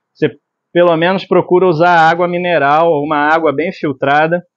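A man in his forties from Brazil teaching screen printing in his workshop. He explains step by step while mixing inks and keeps checking in with the viewer. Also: fairly high pitch. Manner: explanatory, tag questions like "tá?". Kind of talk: hands-on tutorial. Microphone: lapel mic. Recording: slightly dull lo-fi sound.

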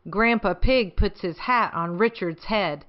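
A middle-aged woman hosting for young children, reading stories in an animated way and asking the listener questions. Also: medium pitch, measured pace, reverberant, recorded from a distance, dull audio. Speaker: children's show host and storyteller. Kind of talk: children's storybook reading and chat to young viewers.

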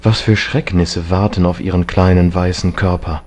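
Man acting a low, sorrowful, earnest painter. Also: steady tone, moderate pace. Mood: pained, hushed. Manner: hushed, strained, earnest. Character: grieving, reproachful male painter, earnest and gentle.

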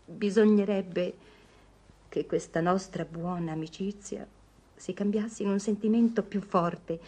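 An actress playing a sensible, kindly firm younger woman in an Italian radio play, measured and hesitant. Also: mid-range voice, dark tone, husky.

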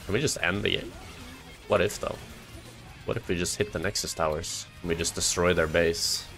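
A young man from Norway, a native Norwegian speaker, joking through live gameplay commentary and chat banter, swearing and shouting at big moments in the game. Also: low voice, steady tone, normal speed, vocal fry, soft background music. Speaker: streamer.

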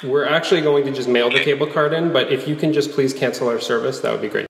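A man's voice: mid-range voice, quick pace, vocal fry, close to an everyday voice. Man playing firm customer in echoing hall. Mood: baffled, polite. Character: firm, weary male customer cancelling service by phone. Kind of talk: dramatic reading of a customer call. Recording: echoey hall-like reverb.

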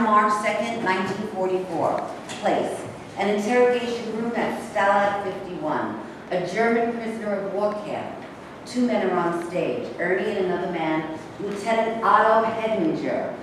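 A woman's voice: mid-range voice, measured pace. Woman narrating in a very echoey hall. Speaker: stage-directions narrator. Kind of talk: scripted narration. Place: bare rehearsal room, camera at a distance. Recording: echoey hall from far off, clear noise.

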